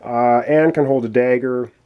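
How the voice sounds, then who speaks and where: A man's voice: medium pitch, fairly even intonation, moderate pace, clean tone, dull audio. A man explaining a board game playthrough in a chatty, explanatory way, with many fillers, while losing his voice. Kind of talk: casual tabletop game walkthrough.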